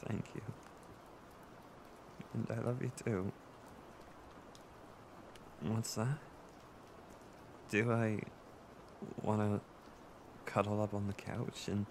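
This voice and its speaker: A man playing an insecure, tearful boyfriend who seeks comfort in an intimate audio roleplay, speaking in a halting, stammering way. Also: lowish voice, background noise. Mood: calming, apologetic, then soft, grateful. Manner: halting, stammering, with nervous soft laughs. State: emotional, tearful.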